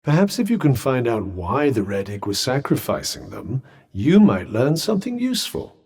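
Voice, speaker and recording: A dry-witted magical bracelet from a video game, full of sarcasm and clipped, formal wording. His pitch sits mid-range but swings in lively arcs between lines. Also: moderate pace, slightly rough, full character voice. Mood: sardonic. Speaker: game character voice actor.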